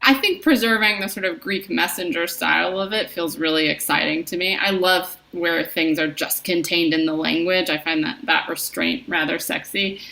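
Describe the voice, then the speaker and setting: Woman, lowish voice, gravelly fry, bright edge, informal panel talk. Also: fairly even intonation, normal speed, hardly any pauses, poor audio.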